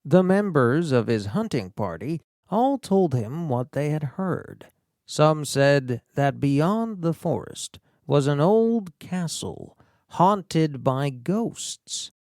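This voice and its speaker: A male storybook narrator with a fairly high voice that swings widely in pitch. He reads scripted fairy-tale prose slowly and theatrically, leaving dramatic pauses. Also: a little mellow, dry recording, clean studio sound. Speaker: audiobook narrator.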